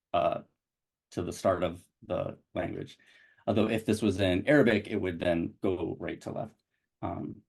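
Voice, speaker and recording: A man presenting a digital edition's web interface on a video call, speaking haltingly with many restarts. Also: lowish voice, creaky, darkish tone. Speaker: digital project developer presenting a site.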